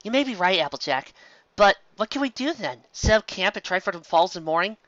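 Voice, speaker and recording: A man's voice: sits high, sing-song, brisk, a bit bright, dry recording, pitched above the performer's own voice. A man voicing a studious, practical, composed young female unicorn in a storybook reading, in dull audio. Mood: thoughtful.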